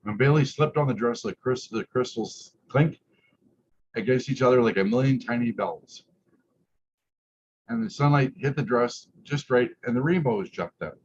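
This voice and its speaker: Lo-fi noisy audio, man, drag queen storyteller, mid-pitched, reading aloud, stumbling delivery, casual asides. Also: moderate pace, a little mellow. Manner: stumbles over words while reading aloud; tags remarks with "eh". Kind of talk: story time read-aloud with chanted refrains and casual asides. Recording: muffled lo-fi audio with clear background noise.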